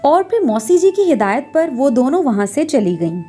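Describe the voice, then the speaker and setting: A woman storyteller reads scripted narration in a high, mellow voice. Music plays beneath her as she tells a domestic tale. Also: very animated, moderate pace.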